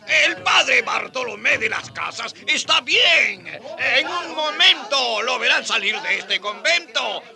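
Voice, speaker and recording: An actor playing a wry, conciliatory Dominican friar in a radio drama, speaking in a theatrical, emphatic way. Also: male voice, a little high, wildly swooping pitch, takes it slowly, vocal fry, sharp tone, exaggerated voice. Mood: excited, calming.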